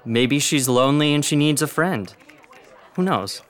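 A man acting in a radio drama as a mild, kindly high-school student, gentle and reasonable. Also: animated, measured pace. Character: mild, kindly high-school senior, the sensible best friend.

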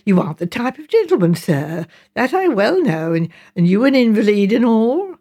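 A woman's voice: a little high, very animated, moderate pace. A woman voicing a garrulous working-class village mother in an audiobook, indignant and rambling, deferential yet firm, with ungrammatical rustic turns of phrase. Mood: indignant, insistent. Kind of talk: audiobook character dialogue.

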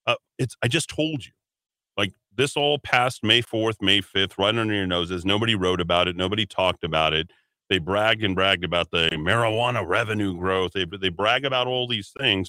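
A man's voice: on the low side, normal speed, bright, forceful tone, dry recording, rough recording. A man hosting a talk radio show, opinionated, reading items aloud and mocking them, taking calls. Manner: opinionated, reads quotes aloud and mocks them. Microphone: studio broadcast mic.

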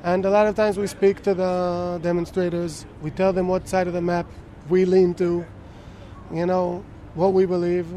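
A man, a band musician, talking in a casual interview in an animated, storytelling way. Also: high-pitched, moderate pace, slight background noise.

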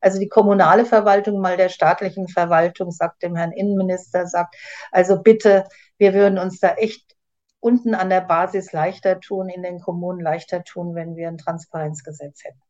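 Lower voiced woman, lots of ähs, stumbly, muffled lo-fi sound. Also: steady tone, darkish tone. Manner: halting, heavy on fillers. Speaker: interview guest. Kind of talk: casual interview answers. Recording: dull lo-fi sound.

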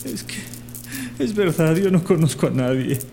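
High-pitched desperate lawyer. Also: male voice, big pitch swings, normal speed, creaky, mellow, slight background noise. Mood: frightened, pleading. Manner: stammering, pleading. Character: desperate, frightened male lawyer. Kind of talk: radio drama acting.

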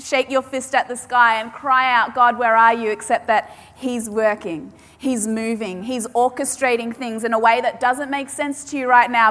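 A woman preacher, a native Polish speaker, delivers a sermon in an emphatic style, repeating key lines for effect. Her voice sits a little high. It carries with the long reverb of a large hall. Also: a bit bright. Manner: emphatic, repeats key phrases with dramatic pauses.

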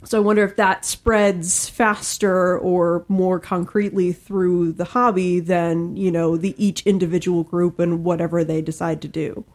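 A woman's voice: fairly low pitch, flat delivery, measured pace, few pauses, darkish tone. A woman co-hosting a podcast, talking casually and analytically as she thinks aloud. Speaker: podcast co-host. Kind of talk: casual panel discussion.

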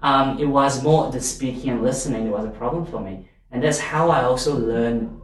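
A man's voice: mid-range voice, moderate pace, distant mic. A man from Malaysia with a Malaysian accent, a non-native English speaker, giving advice and telling stories conversationally. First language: not English, learned it as a third language. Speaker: podcast guest, volunteer advocate. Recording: very echoey room, off mic, faint noise.

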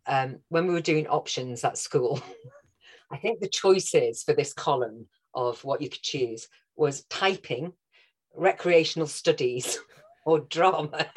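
A woman from North Wales with a Scouse-tinged accent, chatting as a guest actress, enthusiastic and laughing often. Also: sits low. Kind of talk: casual interview chat.

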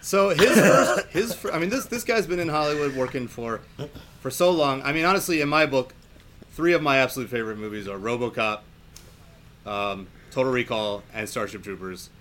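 A man's voice: mid-range voice, moderate pace, fairly bright tone. A man chatting casually about films in an enthusiastic, loosely wandering conversational way. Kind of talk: casual film chat.

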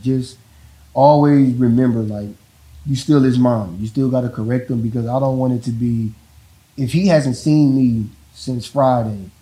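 A man with a deep voice talking casually about parenting, rambling and often checking in with the listener. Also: rough recording. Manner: relaxed, rambling, repeats "you know what I'm saying". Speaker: father in a couple interview.

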